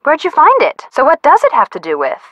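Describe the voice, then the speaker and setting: A young American girl sidekick in a detective game. She is chatty and playful, with a fairly high, bright voice and lively, teasing swings. The sound is dry and close. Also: a little fast, no room sound, muffled recording, exaggerated voice. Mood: eager, curious. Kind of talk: video game voice acting.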